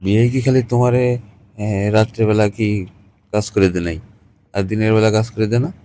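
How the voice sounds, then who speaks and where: A man's voice: lowish voice, steady tone, normal speed, mellow. A man in a casual, cajoling, colloquial phone chat. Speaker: caller in a staged phone conversation.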